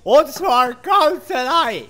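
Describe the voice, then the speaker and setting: An actor playing a boastful, self-pitying village drunkard in a Swiss German comic audio play, hesitating and repeating himself. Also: male voice, extremely high pitch, lively intonation, slow pace, pushed and bright, heavily acted. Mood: indignant bragging. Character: boastful male village drunkard, washed-up local legend. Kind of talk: comic audio drama acting.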